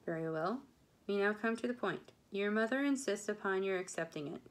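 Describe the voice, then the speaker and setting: A woman reads as a dry, middle-aged gentleman father in a period novel. He speaks with measured formality and calm sardonic wit. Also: medium pitch, natural voice. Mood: stern, wry.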